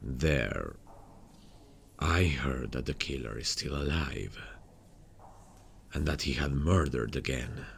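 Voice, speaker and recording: A man acts out a haunted detective in an intimate audio roleplay. His voice is extremely low and gravelly, and he takes his time with every phrase. He addresses his partner directly, weary but intent on the hunt, over faint background ambience. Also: slow, long pauses, slight background noise, heavily acted. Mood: somber, reflective. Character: weary, haunted male detective hunting a serial killer. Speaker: ASMR roleplay voice actor. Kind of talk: ASMR roleplay, intimate dramatic acting.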